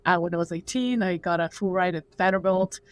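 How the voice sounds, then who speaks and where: A woman's voice: on the low side, a little fast, no echo. Young woman chatting casually, dry podcast audio. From Uzbekistan. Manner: casual, with frequent "like" fillers. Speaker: startup cofounder, guest.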